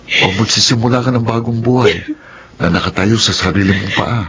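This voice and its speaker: A man's voice: fairly low pitch, a little fast, fairly bright tone, husky, heavily acted. A Filipino man playing an earnest, devoted suitor in a radio drama. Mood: urging. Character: earnest, devoted male suitor urging independence.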